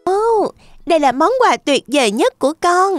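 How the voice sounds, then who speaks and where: A woman plays a sweet, excitable young girl in a cartoon fairy tale. The voice is extremely high, eager and childlike, recorded dry over music. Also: moderate pace, dry recording. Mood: excited.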